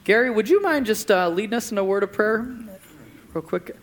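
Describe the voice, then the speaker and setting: A man's voice: sits high, animated, a little fast. A man preaching as pastor of a church, closing his sermon with an earnest prayer and then speaking to the congregation from the stage.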